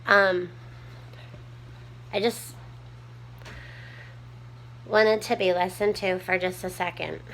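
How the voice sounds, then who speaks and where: A woman with a small, soft voice, chatting casually to her viewers from home with lots of ums while she tidies up. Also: on the low side, a little hiss or hum, rough recording. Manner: rambling, many ums, homespun talk. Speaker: prepping vlogger. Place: home room, tidying a table.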